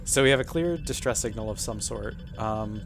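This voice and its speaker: A man's voice: lowish voice, normal speed, soft background music. A man talking casually as a player around a tabletop roleplaying game, asking questions of the game master. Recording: noisy group recording.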